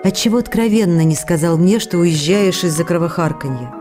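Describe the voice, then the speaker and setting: A woman's voice: lowish voice, fairly quick, warm tone, lightly acted. An actress playing a loving, hurt and anxious wife, reading her letters in an intimate, pleading way for radio drama. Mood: hurt, wistful. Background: faint music.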